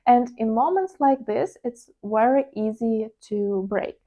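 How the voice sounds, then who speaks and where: A woman's voice: a little high, a little slow, highs cut off. A woman with a Ukrainian accent, a native Ukrainian speaker, talking candidly and reflectively to her channel's viewers, with the odd hesitant "uh". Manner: candid, confessional, with hesitant fillers. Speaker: trading vlogger. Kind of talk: personal reflective monologue.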